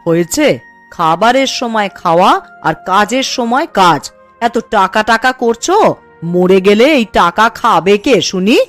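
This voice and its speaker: A homely village mother from a cartoon, voiced by a woman, with a medium-pitched voice. She nags and scolds like a principled housewife, over background music. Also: measured pace, lightly acted. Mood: exasperated. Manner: nagging, homely, rustic. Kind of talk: Bengali cartoon story acting. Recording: music behind the voice.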